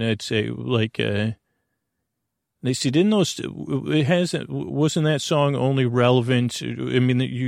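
A man with a creaky voice hosting a sleep podcast, meandering through halting, self-deprecating tangents. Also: medium pitch, dry recording, clean studio sound. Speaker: podcast host. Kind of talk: rambling bedtime sleep podcast monologue.

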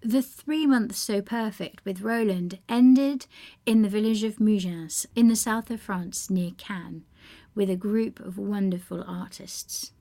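A woman's voice: mid-range voice, a little slow, mellow. A woman delivering scripted narration between readings.

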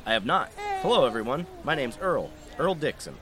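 A man playing a brisk, friendly training organizer in an audio drama. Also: mid-range voice, big pitch swings, lightly acted.

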